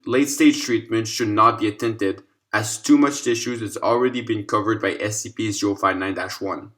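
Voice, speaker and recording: A man's voice: lowish voice, steady tone, moderate pace, a bit bright. A man narrating a scripted scientific document in a formal, clinical manner. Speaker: narrator reading a fictional case file.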